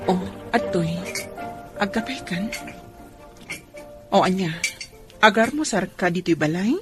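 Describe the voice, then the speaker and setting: A woman's voice: medium pitch, lively intonation, unhurried, over loud music, lightly acted. A woman playing a long-suffering, anxious wife and mother in a radio drama, speaking earnestly and pleadingly.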